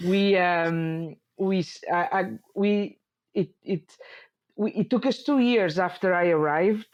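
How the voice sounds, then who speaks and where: A woman's voice: on the low side, takes it slowly, a little mellow, highs cut off. A woman in her forties from Portugal with a Portuguese accent, a sheep farmer in an interview, speaking hesitantly with many fillers and restarts. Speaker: sheep dairy farmer, guest. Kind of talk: interview conversation.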